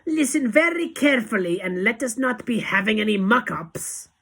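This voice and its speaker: A woman's voice: medium pitch, expressive, measured pace, creaky, fairly bright tone, slightly rough, pitched above the performer's own voice, full character voice. A woman voicing a tiny, fiendish, tyrannical witch leader who screeches and barks commands in mangled grammar for a storybook. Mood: commanding. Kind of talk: dramatic audiobook character acting.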